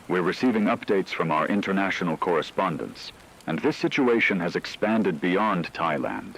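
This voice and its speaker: Man acting as a radio drama news anchor, low voice, grave, formal, measured, factual, slight background noise. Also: a bit bright, low-quality recording. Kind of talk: scripted breaking radio news report.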